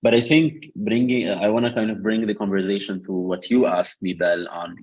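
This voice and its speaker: A man's voice: fairly low pitch, normal speed, a little mellow, husky, poor audio. A man answering a question on a panel discussion, hesitant, with many fillers and restarts. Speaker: panel speaker on Lebanon.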